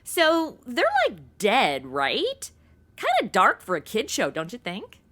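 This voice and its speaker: A woman's voice: medium pitch, wildly swooping pitch, slow, a bit bright, heavily acted. A woman playing a slangy, sardonic young American in a comic audio drama. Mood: unimpressed, sardonic. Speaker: show host. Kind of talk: comic audio drama, casual modern banter.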